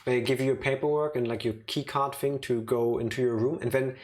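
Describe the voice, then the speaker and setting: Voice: medium pitch, steady tone, a little fast, darkish tone, a little hiss or hum. A streamer chatting casually while playing a game. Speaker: let's play streamer.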